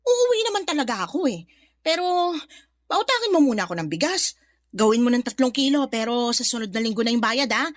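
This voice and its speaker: A woman with a Filipino accent playing a tactless, sharp-tongued middle-aged aunt in a radio drama, blunt and quick to defend herself. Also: fairly high pitch, very animated, no room sound. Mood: pushy. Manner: blunt, brazen, swooping emphatic delivery. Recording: music behind the voice.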